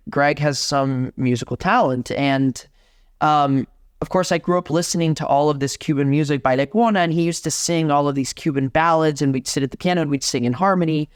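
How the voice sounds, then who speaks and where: A young adult man from Miami, Florida, a guest organist chatting in an interview, animated and full of restarts. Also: fairly high pitch, clear, resonant tone, no echo, studio quality. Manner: animated, talks with many restarts. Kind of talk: casual interview conversation.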